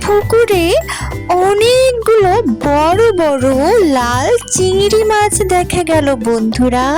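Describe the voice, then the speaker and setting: A woman storyteller with a very high voice narrates for young children. Her delivery is slow, deliberate and expressive, speaking to listeners as friends. Music plays behind her. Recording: music under the voice.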